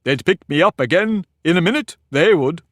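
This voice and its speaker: A man's voice: a little high, expressive, moderate pace, fairly bright tone, no echo, clean studio sound, voice pushed up. A man voicing a pompous, touchy talking egg in a storybook reading, boastful and argumentative. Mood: lordly. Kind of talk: audiobook character reading.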